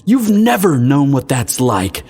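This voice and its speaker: A man's voice: mid-range voice, wildly swooping pitch, unhurried, darkish tone, husky, exaggerated voice. A man playing a proud, indignant elder crown prince in a fantasy audio drama, speaking formally and haughtily.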